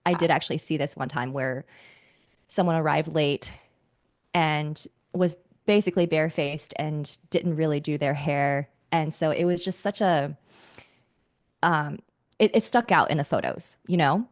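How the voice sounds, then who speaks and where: A woman's voice: sits low, unhurried, no room sound, clean studio sound. A woman who works as a style coach, a guest on a podcast, giving earnest advice and laughing between points. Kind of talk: casual podcast interview.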